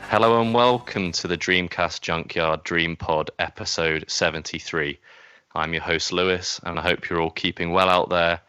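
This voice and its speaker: A man's voice: deep voice, steady tone, moderate pace, bright, forceful tone, clear, resonant tone, no room sound. A man hosting a podcast in a casual, chatty way. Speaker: podcast host. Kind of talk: casual podcast chat and introduction.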